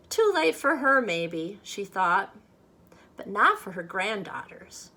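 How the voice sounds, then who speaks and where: A woman reading a children's picture book aloud expressively, with measured, dramatic phrasing meant for young listeners. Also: on the high side, sharp tone. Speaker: storybook reader.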